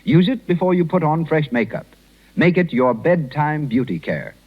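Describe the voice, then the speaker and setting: A man's voice: a little high, expressive, moderate pace, breathy edge. An American man working as a radio announcer, reading scripted advertising in 1930s broadcast style. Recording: dull 1930s radio recording.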